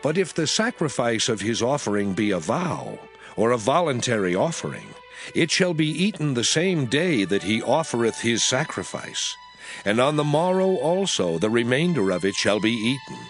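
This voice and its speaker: A man playing God proclaiming sacred laws in a dramatized scripture reading, solemn, deliberate and declamatory. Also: mid-range voice, big pitch swings. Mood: solemn, commanding. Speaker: scripture voice actor. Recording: music under the voice. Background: background music.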